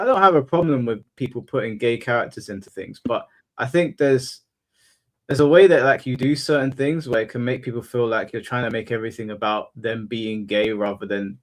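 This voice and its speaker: A man hosting a laid-back panel discussion in a mid-range voice. His delivery stays monotone. He stops and restarts his sentences as he works out what he means. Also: medium pitch. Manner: halting, restarts often while thinking. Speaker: podcast host. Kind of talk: casual panel chat.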